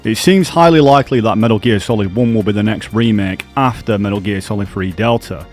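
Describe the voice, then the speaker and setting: A man's voice: lowish voice, brisk. Man narrating gaming news over music. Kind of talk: scripted video narration.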